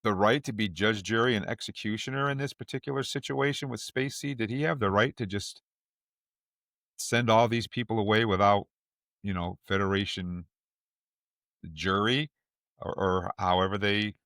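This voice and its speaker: A man hosts a podcast discussion in a conversational style. His voice is a little on the low side. The recording is close and dry, without echo. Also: fairly even intonation, normal speed, a bit bright. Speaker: podcast host. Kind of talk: casual discussion.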